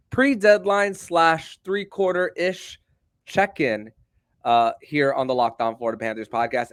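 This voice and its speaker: A male podcast host talks sports with an emphatic, wordy delivery. His mid-pitched voice is recorded dry, with very little room sound. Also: no room sound.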